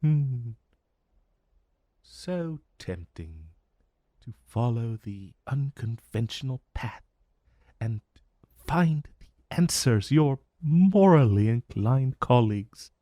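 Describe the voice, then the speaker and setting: A man's voice: mid-range voice, warm tone, dry recording, clean studio sound. A man voicing an ancient dragon in a fantasy roleplay monologue, urbane and amused, savoring his words with veiled menace. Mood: seductive, intrigued. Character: ancient male dragon, urbane, intrigued, vaguely menacing familiar.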